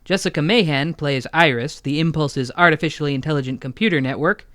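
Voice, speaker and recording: A man speaking as an interview guest, an audio drama creator with deadpan joking. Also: on the high side, brisk, no room sound, clean studio sound. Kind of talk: interview conversation.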